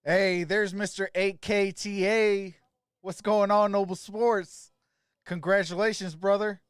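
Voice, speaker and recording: High-pitched man hosting a casual sports stream. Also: flat delivery, slow, smooth, dry recording, studio quality. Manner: informal, friendly, greets viewers by name. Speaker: sports livestream host doing play-by-play. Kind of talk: casual live stream chat and game commentary.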